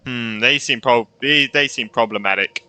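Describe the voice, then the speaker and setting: A man's voice: medium pitch, moderate pace, pushed and bright, clean tone. A young man doing casual, reactive playthrough commentary, muttering asides and repeating himself. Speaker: gaming streamer.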